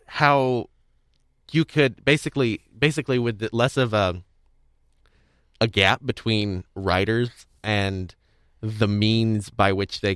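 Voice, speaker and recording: A man with a fairly low voice hosts a casual podcast interview. He rambles and halts, doubling back on words as he works out a thought. The recording is very dry, with no room sound. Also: a bit bright, clear, resonant tone, no room sound. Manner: rambling, halting, repeats words mid-thought. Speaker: podcast host. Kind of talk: casual interview chat about audio drama.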